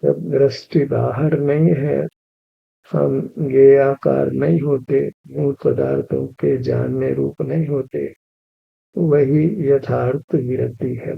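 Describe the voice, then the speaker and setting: Slow, gravelly-voiced male meditation guide. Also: mid-range voice. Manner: slow, even, instructive, repeats key phrases. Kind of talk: guided meditation with spiritual teaching. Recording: dull lo-fi recording.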